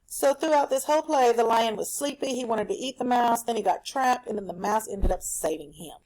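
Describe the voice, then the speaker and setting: A woman teaching a recorded reading lesson for children at home, explaining a story in an animated, explanatory way. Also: a little high, a little fast, rough recording.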